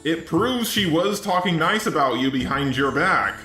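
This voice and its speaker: Bright synthetic male commentary voice. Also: a little high, lively intonation, moderate pace, echoey room, low-quality recording. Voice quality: synthetic robot text-to-speech voice. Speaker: commentary channel host. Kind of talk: scripted video commentary. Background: background music.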